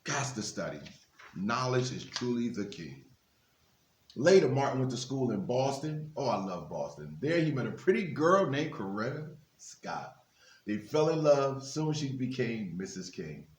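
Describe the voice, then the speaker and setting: A man's voice: mid-range voice, sing-song, measured pace. A man reading a children's book aloud, breaking off for informal, encouraging asides to his young listeners. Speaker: guest reader at a children's book reading for a basketball team's literacy program. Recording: echoey, reverberant room.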